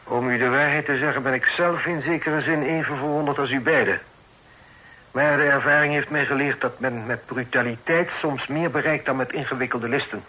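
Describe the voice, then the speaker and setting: Casting: shrewd, protective father, middle-aged. Bright, forceful, rough-edged voice, formal measured delivery, old radio play. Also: medium pitch, fairly even intonation, low-quality recording. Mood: grave, conciliatory. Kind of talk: period radio drama acting.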